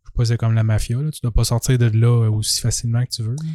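Quebec guy chatting on a podcast, super informal, soft warm low-brightness voice, dry sound. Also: steady tone, quick pace, no echo. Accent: Quebec French. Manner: slangy and informal. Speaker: podcast co-host. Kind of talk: casual chat, reacting to a story.

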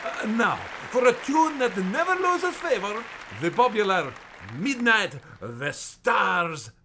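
A vintage dance bandleader at the microphone. His high, very drawn-out, swooping introduction floats over loud music and a noisy crowd. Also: very animated, full character voice. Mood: genial, showy. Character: courtly male dance orchestra bandleader at hotel ballroom. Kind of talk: 1930s radio stage introduction, acted.

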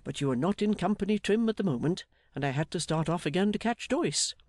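A man voicing a jolly, blustering older English gentleman in an audiobook, fatherly, emphatic and effusive. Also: high voice, expressive, fairly quick, dry recording, pitched above the performer's own voice. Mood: hearty, excited. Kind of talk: dramatised audiobook character.

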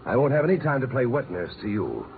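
An actor playing a stern, curt, ominous clinic doctor in a 1950s radio drama. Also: male voice, mid-range voice, huge pitch swings, fast talker. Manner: curt, commanding. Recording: tinny, noisy old radio broadcast.

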